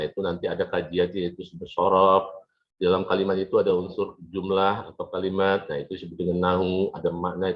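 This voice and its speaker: Casting: male lecturer, low voice, informal and repetitive classroom delivery with check-in tags, muffled lo-fi recording. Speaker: lecturer in an Arabic language course. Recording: dull lo-fi lecture audio.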